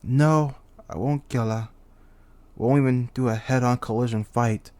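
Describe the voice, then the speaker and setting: A proud, humiliated demon king, played by a man in a theatrical character monologue. His voice sits at a medium male pitch and is recorded dry, with hardly any room. He is exasperated and self-pitying, with lofty phrasing that slips toward the plainly human. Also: fairly even intonation, unhurried, darkish tone, dry recording, lightly acted. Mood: resolved, brooding. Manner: theatrical, exasperated, sighing, lofty speech slipping into slang. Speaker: voice actor. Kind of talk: dramatic character monologue for a voice reel.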